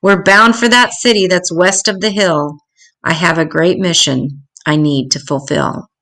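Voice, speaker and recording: A woman voicing a calm, kindly, mysterious holy man from a children's storybook, speaking in solemn measured rhyme. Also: on the low side. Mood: resolute.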